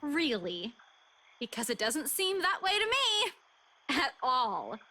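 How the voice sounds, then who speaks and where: Young female game character: bright, animated, very high-pitched, expressive tease. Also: slow pace, heavily acted. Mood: teasing. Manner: playful, expressive. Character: teasing, giggly young woman. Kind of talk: voiced visual novel dialogue.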